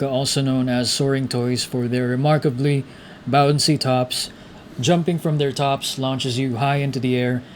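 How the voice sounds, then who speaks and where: A man giving informal, slangy playthrough commentary and reading game text aloud. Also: mid-range voice. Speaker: gaming content creator. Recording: faint background noise from game.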